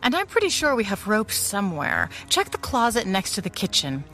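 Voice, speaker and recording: An actress playing a capable, caring mother and ally in a pulp audio drama, calm, reassuring and matter-of-fact. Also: mid-range voice, a little fast, soft background music, heavily acted.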